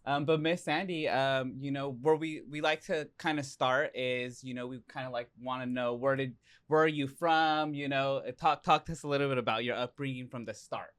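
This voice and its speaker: Man from Mexico, podcast co-host, effusive, excitable, casual chat. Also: medium pitch, normal speed, clean tone.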